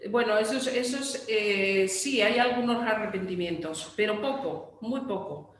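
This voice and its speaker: A woman with a Spain Spanish accent answers questions over a video call. She speaks from a strongly echoing room. Her pitch is mid-range, and she pauses often with fillers. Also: a little hiss or hum. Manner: hesitant, many fillers and trailing repeats. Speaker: restoration project director. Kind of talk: answering questions in an online talk.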